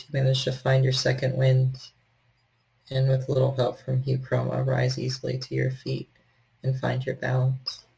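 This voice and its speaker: A man with a gravelly, husky voice, narrating a horror tabletop game as storyteller in measured, literary phrasing. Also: mid-range voice, a little mellow, rough recording.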